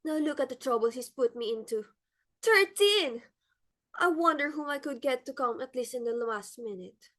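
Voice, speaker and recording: A woman's voice: high-pitched, lively intonation, normal speed, a bit bright. A woman playing a fussy, determined society hostess and mother in a dramatic, exclamatory stage play reading. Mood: indignant, flustered.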